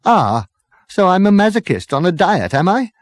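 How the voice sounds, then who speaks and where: A man's voice: sits high, very animated, moderate pace, no room sound, clean studio sound, voice pushed up. A man voicing an exasperated, hapless, polite Englishman lost in space, indignant and hesitant, in an audiobook.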